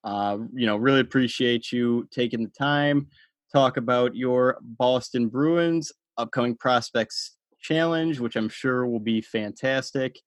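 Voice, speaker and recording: A man hosting a casual sports podcast, talking in a loose, chatty way with plenty of fillers and easy laughter. His voice is mid-pitched and sits in a dry, close-miked sound. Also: unhurried, dry recording. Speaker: podcast host.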